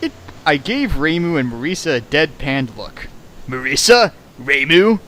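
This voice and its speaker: Animated man riffing a fanfic aloud. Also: a little high, a little slow, a little hiss or hum, rough recording. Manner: sarcastic, exasperated, shifts into mock voices. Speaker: fanfic reader and riffer. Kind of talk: dramatic reading with mocking commentary. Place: home streaming setup.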